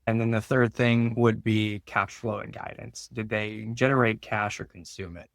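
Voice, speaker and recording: Male interview guest, finance expert. Informal explainer, lower pitch, very even delivery. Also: moderate pace, creaky, dry recording, clean studio sound.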